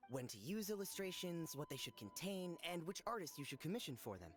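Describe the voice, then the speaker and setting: A man's voice: fairly high pitch, very animated, brisk. A man voicing an opinionated townsman in a video game, explaining things earnestly. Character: opinionated male townsman, light novel enthusiast. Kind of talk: video game character acting. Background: game music.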